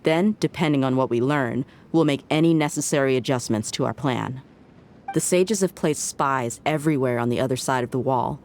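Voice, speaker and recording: A woman's voice: rumbling low, normal speed, darkish tone, a little hiss or hum. An actress playing a confident, breezy young female mercenary in a video game, with a playful, teasing manner. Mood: composed, businesslike. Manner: playful, smooth teasing, self-assured.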